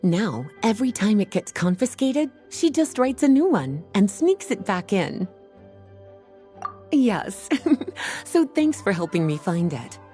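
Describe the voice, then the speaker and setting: Video game magic librarian, warm mellow tone, lively intonation, poised n a bit teasing. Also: female voice, mid-range voice, unhurried, soft background music. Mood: amused. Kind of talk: video game character acting.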